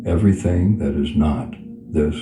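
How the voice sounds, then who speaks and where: Male meditation guide, very low register, slow soothing delivery with long pauses, roomy reverb. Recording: reverberant voice over clear background music. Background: background music.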